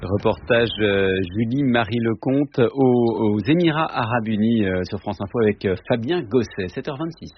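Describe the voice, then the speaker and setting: A man's voice: medium pitch, normal speed, hardly any pauses, husky, no echo, muffled recording. A man presenting radio news, linking items with some hesitant fillers. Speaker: news anchor.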